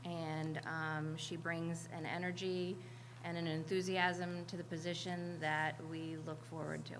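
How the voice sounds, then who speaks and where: A woman school administrator briefing a board on a new hire, measured and full of ums. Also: deep voice, level tone, rough recording. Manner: measured, filler-laden briefing. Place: public meeting room. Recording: meeting room with loud background noise.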